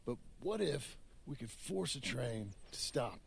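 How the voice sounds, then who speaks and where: A gravelly, lively voice with a dry, sardonic drawl, a rough cowboy outlaw scheming over a job. Faint noise sits behind the game audio. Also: male voice, medium pitch, a little slow.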